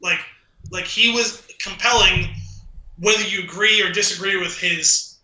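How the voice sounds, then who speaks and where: A man with a high-pitched, very sharp and bright voice co-hosts a casual podcast. He offers opinions conversationally, and the sound around his voice is noticeably roomy and echoey. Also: fairly even intonation, unhurried. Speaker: podcast co-host. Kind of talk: casual opinionated film discussion.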